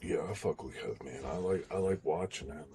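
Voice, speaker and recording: A young baritone man streaming live, talking casually and bluntly with a strained, sore voice after throat surgery, sometimes slipping into a whisper. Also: a little fast, warm tone. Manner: blunt and profane, drops to a whisper at times.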